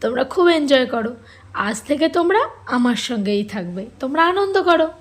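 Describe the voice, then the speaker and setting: Lady acting a polite young housewife in a kids cartoon, high n animated, bit husky. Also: a little fast, slight background noise. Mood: friendly. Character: polite, startled young housewife in a fairy tale. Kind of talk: children's cartoon dialogue.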